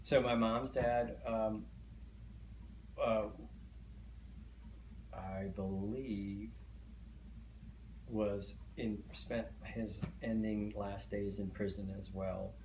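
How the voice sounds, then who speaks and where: Low flat-voiced man, echoey room. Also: drawn-out words, pauses often. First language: Hungarian. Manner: hesitant, many fillers and restarts. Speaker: interviewee. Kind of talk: oral history interview. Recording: echoey room, faint noise.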